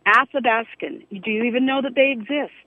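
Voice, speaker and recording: A woman's voice: a little high, fairly even intonation, a little fast, phone-quality sound, slight background noise. A woman from Alaska calling a radio show on a cell phone, indignant and challenging as she argues. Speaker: caller. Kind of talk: radio call-in argument.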